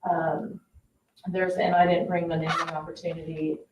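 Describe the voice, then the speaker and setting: A woman's voice: sits low, flat delivery, normal speed, background noise. A woman explaining things informally and with some hedging at a board meeting, as a parks staff member. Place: indoor public board meeting room. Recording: echoey meeting room, distant dull mic.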